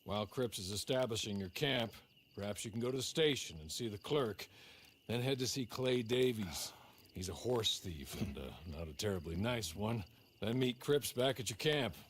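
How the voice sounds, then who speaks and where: An actor playing a polite, dutiful manservant in a Western game, deferential and measured, with dry understatement. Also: male voice, lowish voice, expressive, slight background noise, natural voice. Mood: dry, businesslike. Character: polite, dutiful gentleman's servant of the frontier. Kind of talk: game cutscene acting.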